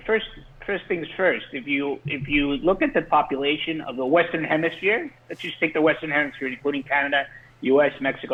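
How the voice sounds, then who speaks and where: A man who grew up in Colombia, speaking as a guest industry insider, earnest and prone to restarting his words. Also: on the high side. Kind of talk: explaining in an interview. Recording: phone-line call-in.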